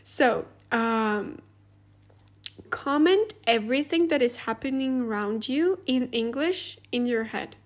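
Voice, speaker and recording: High-voiced woman. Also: slow. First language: Russian. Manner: chatty, animated, laughs often, many "like" fillers. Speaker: podcast host. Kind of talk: casual advice monologue.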